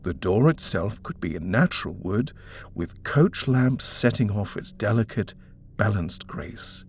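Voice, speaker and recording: A man with a husky voice narrating a scary story from a script in a dramatic reading style. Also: lowish voice, lively intonation, unhurried, a little hiss or hum. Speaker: audiobook narrator.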